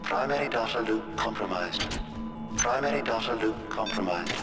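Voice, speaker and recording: A man voicing a cold ship computer in a video game, giving flat system alerts. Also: on the low side, moderate pace, over loud music. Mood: cold, alerting.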